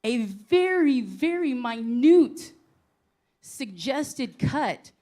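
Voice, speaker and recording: A woman teacher giving a public comment at a school board meeting, loud and pointed. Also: high-pitched, expressive, very slow. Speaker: physical education teacher. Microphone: standing at a podium mic.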